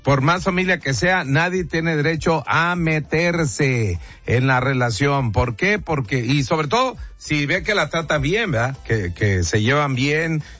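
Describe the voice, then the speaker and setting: A male radio host with a northern Mexican accent and a fairly high voice chats colloquially with his audience, often repeating himself for emphasis. The sound is dull and lo-fi, with light music underneath. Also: lively intonation, a little slow, few pauses, a bit bright. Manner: colloquial, repeats himself, talks directly to listeners. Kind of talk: casual call-in radio chat.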